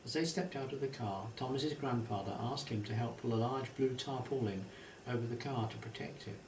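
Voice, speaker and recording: A man's voice: medium pitch, fairly quick, background noise. A man reading his own nostalgic story as scripted storybook narration, in a roomy, slightly distant recording. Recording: roomy, echoey, distant, music behind. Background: music.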